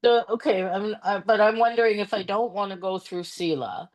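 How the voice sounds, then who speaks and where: A woman's voice: medium pitch, normal speed, a little mellow, low-quality recording. A woman from Canada asking a question from the audience at a conference, hesitant and correcting herself as she goes. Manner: hesitant, self-correcting, with restarts.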